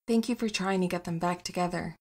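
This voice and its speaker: A woman playing a warm, wry, loyal partner in a naturalistic comedy audio drama, teasing with a deadpan touch. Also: lowish voice, brisk. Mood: wry fondness.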